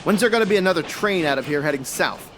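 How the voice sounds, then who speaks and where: A man's voice: fairly high pitch, lively intonation, quick pace, close to an everyday voice. A man playing a terse, frustrated private detective in a 1940s-style noir radio drama. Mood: exasperated. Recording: very loud background noise.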